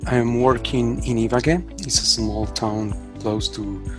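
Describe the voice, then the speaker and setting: A man's voice: medium pitch, fairly even intonation, a little slow. A man with a mid-range voice giving a plain, careful self-introduction. Faint music sits underneath. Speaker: neuroradiologist. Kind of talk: short video self-introduction.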